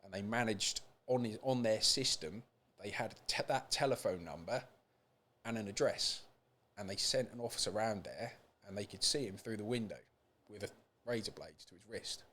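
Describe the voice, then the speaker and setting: A man's voice: on the low side, normal speed, bright, forceful tone, a little hiss or hum. A British man speaking as a podcast guest in a candid personal interview, earnest and emphatic, repeating phrases for weight. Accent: British English. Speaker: podcast guest, support group founder.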